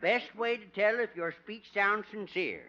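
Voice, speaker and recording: A man's voice: high-pitched, normal speed, pushed and bright. An actor playing a folksy older male veterinarian who jokes slyly, in a 1950 radio comedy. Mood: businesslike. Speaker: supporting actor. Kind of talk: 1950s radio comedy acting. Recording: dull restored 1950 radio recording.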